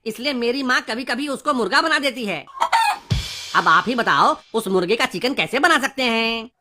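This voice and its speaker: A man's voice: extremely high pitch, expressive, moderate pace, heavy background noise. A man voicing a cheeky, smart-mouthed schoolboy who talks glibly in a comedy cartoon. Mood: smug, matter-of-fact. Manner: cheeky, glib. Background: music.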